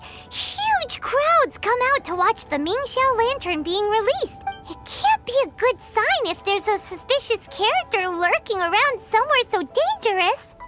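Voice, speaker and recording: A tiny fairy sidekick with an extremely high, squeaky childlike voice. She is bossy, excitable and food-obsessed, swooping through her game lines with great animation over prominent music. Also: full character voice. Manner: emphatic, speaks of herself in third person. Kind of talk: video game character acting.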